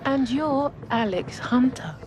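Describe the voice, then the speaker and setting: A woman playing a gentle, encouraging loved one in a game cutscene. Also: high-pitched, expressive, slow pace, background noise. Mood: reassuring. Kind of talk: naturalistic game cutscene acting.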